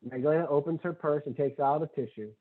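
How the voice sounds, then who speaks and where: A man's voice: fairly high pitch, fast talker. A man reading screenplay stage directions as scripted narration at a table read. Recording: tinny phone-like audio.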